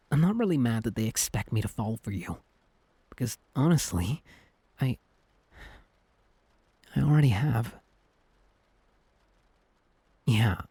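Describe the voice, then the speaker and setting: A man playing an earnest, lovestruck Cupid in an intimate ASMR boyfriend roleplay, speaking softly and haltingly with a slightly husky voice. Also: mid-range voice, dry recording. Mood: awkward, guilty, then tender confession. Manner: hesitant, earnest, tender, halting. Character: lovestruck male Cupid, anxious, selfless best friend turned boyfriend.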